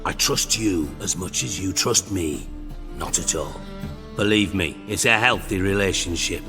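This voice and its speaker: An actor playing a cynical middle-aged spymaster in a video game, with a British accent and a mockingly polite, wry, profane way of talking. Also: male voice, deep voice, a little slow, in a large hall, music in the background. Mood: sardonic. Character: cynical, shrewd, sarcastic male underworld spymaster, middle-aged.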